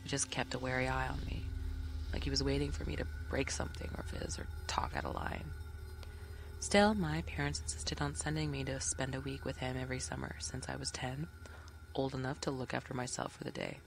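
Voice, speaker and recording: A storyteller narrating a scripted horror tale in the first person, with a measured, suspenseful delivery and a creaky voice that turns breathy at the ends of phrases. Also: mid-range voice, very animated, slight background noise. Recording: music behind the voice. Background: background music.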